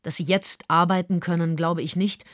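A woman's voice: lowish voice, fairly even intonation, moderate pace, no echo, studio quality, close to an everyday voice. An actress playing a composed, firm female adviser, reading out advice as in a letter in a radio drama. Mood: calm, firm.

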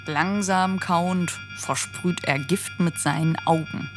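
A woman's voice: on the low side, fairly even intonation, slow pace, music in the background. A woman narrating a poetic radio play as a scripted storyteller.

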